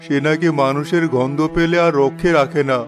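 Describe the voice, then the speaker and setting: A man's voice: fairly high pitch, steady tone, fairly quick, natural voice. A man playing an elderly village uncle in a cartoon, giving grave, fatherly warnings. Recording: music behind the voice.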